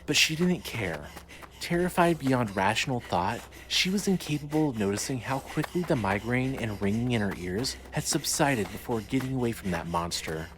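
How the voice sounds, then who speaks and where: A man's voice: mid-range voice, animated, normal speed, fairly bright tone. A man narrating a scripted horror story as its storyteller. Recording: clearly noisy ambient background.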